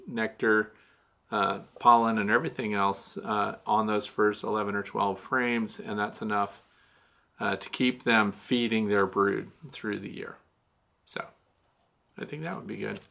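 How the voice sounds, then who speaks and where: A man's voice: lowish voice, gravelly, sharp tone. A man in his sixties hosting a beekeeping question-and-answer show, explaining in a chatty way with frequent fillers. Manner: chatty, gestures a lot, many "uh" fillers. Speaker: beekeeping Q&A host. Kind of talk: casual explanatory talk answering viewer questions. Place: small dim studio room with shelves.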